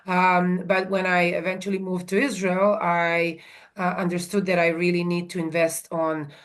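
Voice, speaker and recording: A woman's voice: on the low side, level tone, normal speed. A woman in her forties, originally from Serbia, answering interview questions over a video call from home, earnest and full of ums and restarts. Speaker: guest expert.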